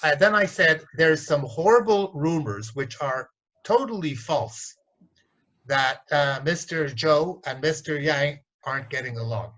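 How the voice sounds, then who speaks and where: Blunt, animated man on a lo-fi call. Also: on the high side, measured pace. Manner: blunt, animated, mimics voices in stories. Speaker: organisation development consultant. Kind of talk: candid interview storytelling.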